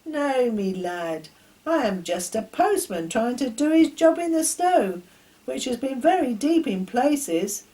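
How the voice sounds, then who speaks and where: A woman's voice: sits high, very animated, takes it slowly, warm tone, voice pushed up, full character voice. A woman voicing a hearty, amused village postman for a children's story, with folksy, proud, colloquial speech.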